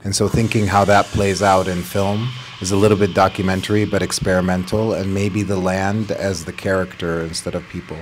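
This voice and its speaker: Man, 40s, from Palestine, deep voice, earnest, measured, explanatory, faint hiss. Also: level tone. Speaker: guest, educator and organizer. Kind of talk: conversational interview.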